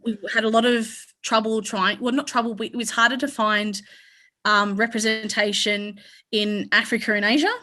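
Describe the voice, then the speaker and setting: A woman's voice: fairly high pitch, level tone, moderate pace, bright, forceful tone. An Australian woman speaking on a conference panel, rambling, with frequent restarts and fillers.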